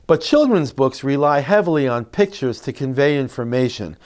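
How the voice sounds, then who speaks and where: Middle-aged male educator, expressive and emphatic, very varied melody, explaining to parents. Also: medium pitch. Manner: expressive, emphatic, clearly phrased. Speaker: presenter of reading tips for parents. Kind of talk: instructional narration to parents, with expressive read-aloud demonstrations. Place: indoor classroom. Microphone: lapel mic.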